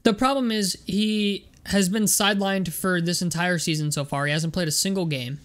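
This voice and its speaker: A young man with a voice roughened by medication, chatting casually about basketball in a sports vlog, rambling with lots of fillers and repeated words. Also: high voice, steady tone, moderate pace, fairly bright tone. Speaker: sports vlogger.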